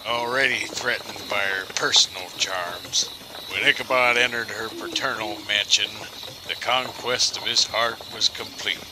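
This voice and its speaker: A man playing a folksy, wry storyteller guide, narrating an audio drama in a theatrical, deliberate manner. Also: on the low side, fairly even intonation, background noise, close to an everyday voice. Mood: knowing, ominous.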